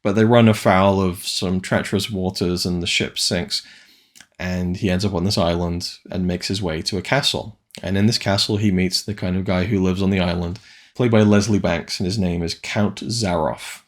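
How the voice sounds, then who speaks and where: A man's voice: deep voice, steady tone, moderate pace. A man from Wales giving a casual, rambling film review, full of hesitant restarts.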